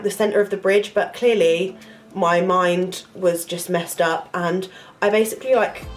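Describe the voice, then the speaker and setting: Chatty young Englishwoman with a stuffy nose. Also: mid-range voice. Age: young adult. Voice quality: nasal. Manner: chatty, rambling, frequent "like" fillers. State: congested, nasal from flu. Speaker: vlogger, university student. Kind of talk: casual storytelling to camera.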